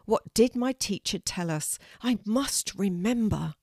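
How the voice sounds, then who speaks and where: A woman voicing an eager, excited young girl in a children's storybook reading. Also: mid-range voice, measured pace, no room sound, studio quality. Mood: worried. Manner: bright, eager and exclaiming.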